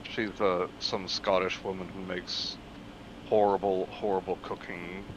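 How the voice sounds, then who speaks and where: Very deep-voiced man, muffled and noisy. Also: little pitch movement, unhurried. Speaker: stream guest. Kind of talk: casual chat. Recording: dull lo-fi mic, clear background noise.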